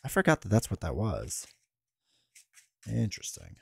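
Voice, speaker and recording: A man in his thirties with a stuffy head cold, chatting casually as a host while playing a card game, rambling with fillers and restarts, close on a boom mic in a home studio. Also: huge pitch swings, moderate pace, creaky, darkish tone, breathy edge, no room sound. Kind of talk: casual gameplay commentary. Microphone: boom mic close to the mouth.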